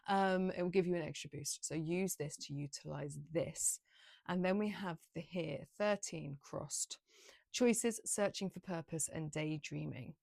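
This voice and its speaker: A woman giving a casual, improvised tarot reading, rambling along with gentle, encouraging spiritual guidance. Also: low voice, measured pace, vocal fry, no room sound, studio quality. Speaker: tarot card reader, channel host.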